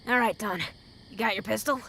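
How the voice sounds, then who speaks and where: A woman playing a gruff, hot-tempered, battle-hardened wasteland mentor in an audio drama, with a gravelly voice and a blunt, sardonic, commanding manner. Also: medium pitch, fairly quick, fairly bright tone, a little hiss or hum. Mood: instructing.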